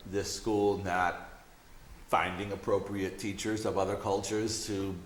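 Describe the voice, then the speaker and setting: A man's voice: on the low side, little pitch movement, unhurried, echoey room. A man in casual conversation, reasoning aloud and offering tentative suggestions.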